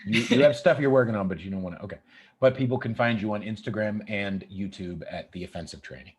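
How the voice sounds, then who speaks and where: A man's voice: lowish voice, fast, husky. A man hosting a casual podcast interview, chatty, often laughing and swearing. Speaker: podcast host.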